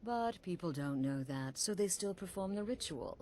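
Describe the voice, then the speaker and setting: A woman voicing a cool-headed assassin leader, briefing a recruit in a calm, dry, matter-of-fact way. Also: fairly low pitch, normal speed, a little hiss or hum, lightly acted. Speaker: assassin guild leader giving orders. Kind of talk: video game character dialogue.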